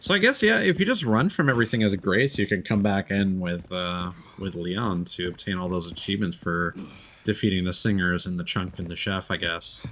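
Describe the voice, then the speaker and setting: A man's voice: low voice, fairly quick, a bit bright, slight background noise. A man live-streaming a video game with casual, reactive commentary, muttering asides to himself and swearing freely.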